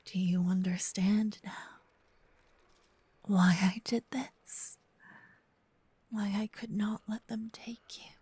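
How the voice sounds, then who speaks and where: Woman acting a slow, whispering, possessive vampire lover. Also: medium pitch, fairly even intonation, no room sound. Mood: yearning, pleading. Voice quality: breathy, soft whisper. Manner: slow, intimate, lingering delivery with solemn romantic phrasing. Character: gentle, possessive female vampire lover, devoted and seductive. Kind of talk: whispered ASMR roleplay. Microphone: close binaural microphone, ear to ear.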